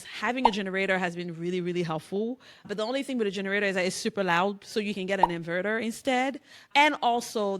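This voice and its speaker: Lively woman vlogging to camera. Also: mid-range voice. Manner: lively and conversational, with laughs. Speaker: business coach. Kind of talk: casual talk to camera.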